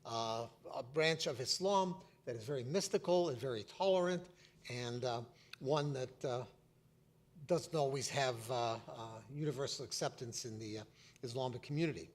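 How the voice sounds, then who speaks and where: A man's voice: medium pitch, moderate pace. A man moderating a panel discussion, reading out panelist introductions with many hesitant fillers.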